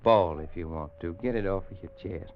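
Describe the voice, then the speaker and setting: Muffled, noisy old-radio cowboy played by an actor. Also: male voice, low-pitched, fairly quick, lightly acted. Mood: subdued, kindly. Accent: American. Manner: folksy, hesitant drawling cowboy talk. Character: laconic grown cowboy narrating, gentle with an orphaned boy. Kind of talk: old-time radio western acting and narration.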